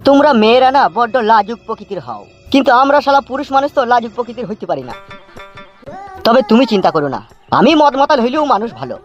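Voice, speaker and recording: Fast-talking village drunkard played by a man. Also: very high-pitched, expressive, dull audio. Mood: reassuring, joking. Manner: coarse rural slang, wheedling reassurance. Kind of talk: comic cartoon dialogue. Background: music.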